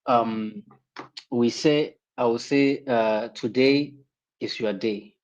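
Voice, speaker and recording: A man from Ghana offering a prayer and warm advice as a panelist on a livestream call. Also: medium pitch, lo-fi sound. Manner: earnest, slow, preacher-like phrasing. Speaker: guest panelist. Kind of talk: prayer and well-wishing on a livestream.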